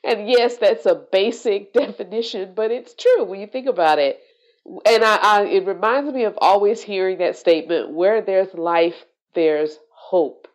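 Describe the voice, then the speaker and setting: A woman hosting a solo podcast, sounding congested and nasal from allergies, talking in a slow, reflective way. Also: mid-range voice, dull audio. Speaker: podcast host.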